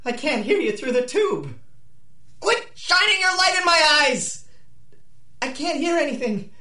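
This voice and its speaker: A woman's voice: sits high, sing-song, measured pace, sharp tone, distant mic, heavily acted. A woman playing a nervous, hesitant young male locksmith and reluctant burglar in a 1930s-style radio drama. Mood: panicked. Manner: hesitant, protesting, exclaiming. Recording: roomy, slightly off-mic.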